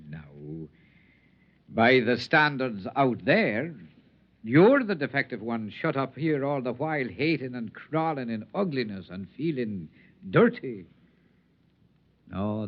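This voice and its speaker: A dull, lo-fi vintage radio recording of a whimsical outcast tramp. His delivery swoops widely, full of florid, theatrical lilt, like a born poetic storyteller. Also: mid-range voice. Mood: tender, persuasive. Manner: lilting, florid, theatrical speech. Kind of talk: old-time radio drama acting.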